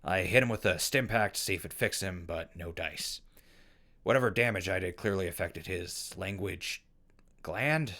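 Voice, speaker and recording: A man playing a weary, grieving, sarcastic wasteland radio survivor in an audio drama, muttering haltingly and wryly through his log. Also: deep voice, bright, forceful tone. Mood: wry, exasperated.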